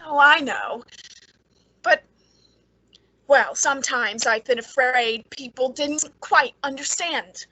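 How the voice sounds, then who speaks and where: A woman's voice: high voice, slow, long pauses, bright, forceful tone, slightly rough, dry recording, exaggerated voice, low-quality recording. An actress playing a nervous, secretly bitter woman with a hesitant, pleading manner in an old-time radio suspense drama. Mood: hesitant, uneasy.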